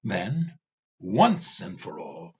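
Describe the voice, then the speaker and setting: A man voicing a weary, raging, all-seeing male demon king who speaks slowly and vehemently, in a dull lo-fi recording. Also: mid-range voice, sing-song, fairly bright tone, slightly rough, full character voice.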